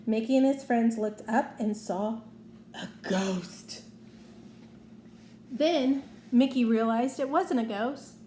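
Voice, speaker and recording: Female storybook narrator for children, unhurried and high-pitched, recorded in a roomy space. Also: mellow. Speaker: storybook reader. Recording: roomy echo, faint background noise.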